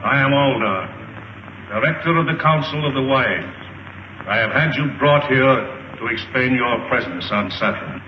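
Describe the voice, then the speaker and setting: A muffled old film soundtrack carries a distant, echoing male voice. An actor plays a solemn alien council director who speaks formally and deliberately, as in a 1930s space serial. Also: medium pitch, a little hiss or hum, lightly acted. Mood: grave, formal.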